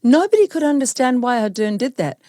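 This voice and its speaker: A woman's voice: sits high, sing-song, fairly quick, warm tone, no room sound, studio quality. A woman from New hosting an interview, speaking emphatically and indignantly. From New Zealand. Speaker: host. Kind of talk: opinionated interview talk.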